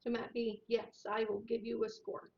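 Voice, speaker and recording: A woman co-hosting a whiskey tasting livestream, talking softly and hesitantly with many fillers, picked up by a microphone above her. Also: fairly high pitch, flat delivery, moderate pace, creaky. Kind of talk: casual tasting chat. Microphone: overhead microphone above the table. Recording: dull lo-fi overhead mic.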